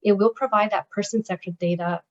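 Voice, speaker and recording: A woman getting over a cold, slightly raspy, presenting slides at a workshop in a steady, explanatory way. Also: medium pitch, brisk, muffled recording, a little hiss or hum. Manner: steady, explanatory, walks through a scenario.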